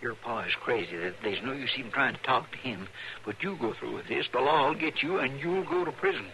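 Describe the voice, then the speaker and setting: An actor playing an indignant, plain-spoken frontier deputy with a rural Western American accent, folksy and protesting, in an old radio western. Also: male voice, medium pitch, brisk, sharp tone. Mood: bitter, urgent. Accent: American rural West. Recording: noisy old radio recording.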